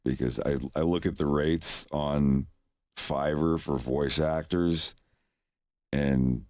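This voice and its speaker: A man with an extremely deep voice chats casually on a livestream, rambling and chuckling at himself as he goes. His voice sits close on a desk microphone in a dry home room. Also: darkish tone, dry recording. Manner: rambling, chuckling, self-deprecating. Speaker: streamer, animator.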